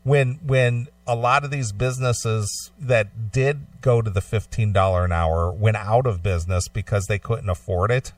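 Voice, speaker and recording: Deep-voiced man explaining, slightly off-mic. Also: fairly even intonation, no room sound, far from the mic. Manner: explanatory, halting with repeated phrases. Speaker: talk show co-host. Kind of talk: casual opinionated radio discussion.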